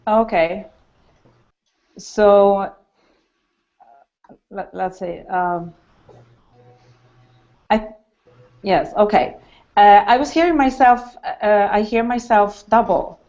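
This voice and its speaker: Swedish woman hosting a hangout, slow and umm-y, muffled call sound. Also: mid-range voice, darkish tone. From Stockholm, Sweden. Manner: hesitant, many fillers. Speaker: hangout host. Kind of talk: casual video call talk. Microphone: video call. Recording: dull lo-fi call audio.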